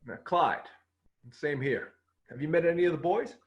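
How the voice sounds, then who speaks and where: A man's voice: on the high side, normal speed, muffled recording, close to an everyday voice. A man playing a friendly, welcoming baseball coach in a dramatic script reading.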